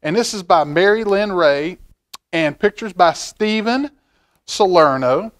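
A middle-aged man reading a picture book aloud to children in a folksy, expressive way, with chatty asides. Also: sits high, a little slow. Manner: folksy, expressive, asking the listener questions. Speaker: library storytime reader. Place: indoor library children's room.

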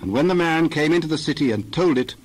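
A man narrating a dramatized scripture reading in a measured, steady way. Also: a little high, a little hiss or hum, poor audio. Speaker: scripture reader.

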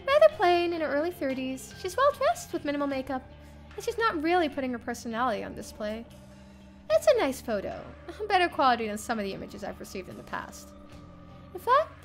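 A woman streamer reading a visual novel aloud as first-person narration, expressive and theatrical. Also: high-pitched. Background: game music.

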